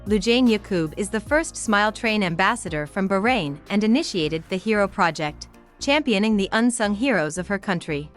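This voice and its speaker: A woman's voice: mid-range voice, lively intonation, normal speed, soft background music. A woman narrating a contestant profile in polished promotional style. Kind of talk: scripted narration.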